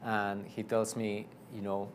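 A man's voice: fairly low pitch, completely flat delivery, measured pace, slight background noise. A man from Argentina with an Argentine Spanish accent, a priest telling his story in a casual interview, with many fillers and restarts.

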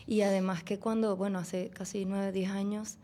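A woman's voice: mid-range voice, level tone, normal speed, warm tone. A woman, a guest, telling her own faith story in a hesitant, casual way with many fillers. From Venezuela. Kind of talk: casual personal testimony.

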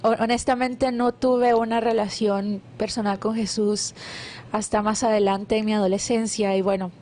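A woman's voice: mid-range voice, level tone, normal speed, slight background noise. Guatemalan woman sharing faith testimony. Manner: warm devout storytelling with many fillers and restarts. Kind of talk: casual testimony interview.

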